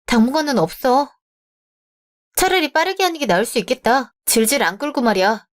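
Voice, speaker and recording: A woman voicing a pushy middle-aged mother of the groom in a radio drama, blunt and patronizing even as she apologizes. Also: high voice, fairly quick.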